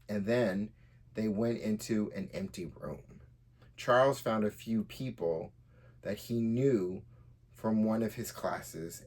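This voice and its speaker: A man with a fairly low voice hosts a story podcast. He talks casually as a writer presenting his own work, somewhere between chatting and reading aloud. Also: a little mellow.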